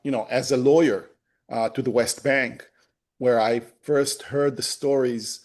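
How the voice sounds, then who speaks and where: A man in his fifties from Israel, a native Hebrew speaker, talking as a guest in an interview, pausing often with fillers and restarts. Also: medium pitch, steady tone, darkish tone. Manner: hesitant, many fillers and restarts. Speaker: human rights lawyer, guest. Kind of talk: conversational interview.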